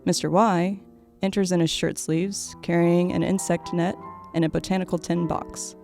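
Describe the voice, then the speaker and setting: A woman's voice: on the low side, little pitch movement, moderate pace, vocal fry, darkish tone, faint music behind. A woman reading scripted stage directions as the narrator of an audio play.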